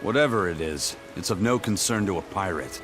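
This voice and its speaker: Um ator interpretando um capitão pirata severo e orgulhoso, de fala firme e professoral. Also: male voice, low voice, animated, a little fast, a bit bright, soft background music. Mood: stern. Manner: stern, lecturing. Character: stern proud male pirate captain. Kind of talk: game dub acting.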